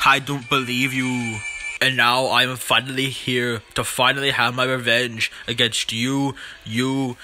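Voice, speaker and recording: A man's voice: mid-range voice, level tone, a little slow, sharp tone, faint music behind. A man voicing a bitter green dinosaur as a pompous, menacing cartoon villain in an amateur game parody.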